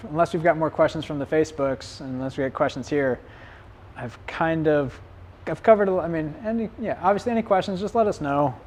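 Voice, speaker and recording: A man with a slightly raised pitch leads a live-streamed bike shop clinic. He explains things in a loose, conversational way, and his voice is getting hoarse.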